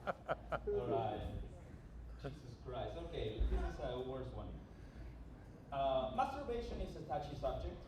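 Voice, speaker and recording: A man from Argentina with an Argentine Spanish accent doing a halting, self-deprecating stand-up routine at an open mic. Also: medium pitch, big pitch swings. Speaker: stand-up comedian. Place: small bar open mic. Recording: echoey bar, distant mic, loud background noise.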